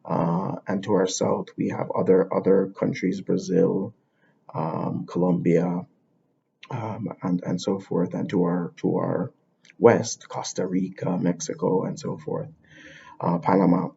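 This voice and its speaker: A low-voiced man with a gravelly, husky edge talks through his ideas without a script. He thinks aloud and often loops back over his own words. The sound is dull and lo-fi. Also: flat delivery, measured pace, dark tone. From Jamaica. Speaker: podcast host. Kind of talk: unscripted explanatory monologue. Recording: dull lo-fi recording.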